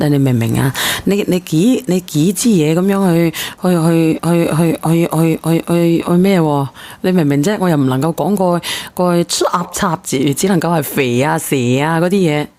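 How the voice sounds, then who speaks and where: Casting: female phone-in host, on the lower side, pressing with questions and exclamations, repeats for emphasis. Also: warm tone. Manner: probing, exclamatory, repeats herself insistently. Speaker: call-in show host. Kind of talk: emotional radio phone-in talk.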